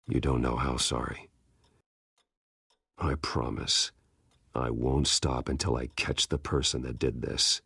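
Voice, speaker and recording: A man playing a brooding, protective masked vigilante in a video game, speaking gravely and tersely in a gravelly growl. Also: rumbling low, little pitch movement, slow pace, pauses often, no room sound, exaggerated voice. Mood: solemn, reassuring. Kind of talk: dramatic video game acting. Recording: loud music under the voice.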